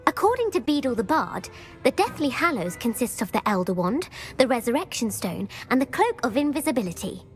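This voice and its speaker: A woman's voice: fairly high pitch, big pitch swings, brisk, soft background music. A young woman voicing a bookish student in a video game who eagerly lectures and quizzes others. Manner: eager and lecturing, bursts of praise.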